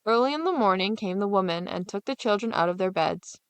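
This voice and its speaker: A woman's voice: mid-range voice, expressive, brisk, clean tone, no room sound. A woman storyteller reading a scripted fairy tale as narration.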